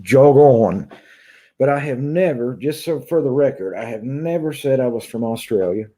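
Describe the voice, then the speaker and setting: A man's voice: medium pitch, expressive, normal speed, warm tone. A man in his thirties with a Southern Kentucky drawl, chatting casually to his viewers as a vlogger. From Kentucky, United States. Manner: drawling, conversational. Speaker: YouTube vlogger. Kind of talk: casual chat to viewers, venting. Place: small room at home, indoors.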